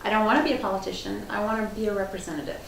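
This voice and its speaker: A woman's voice: mid-range voice, steady tone, very fast, gravelly. A woman giving an earnest, personal opening statement as a political candidate at a forum. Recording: roomy hall, distant mic, noisy.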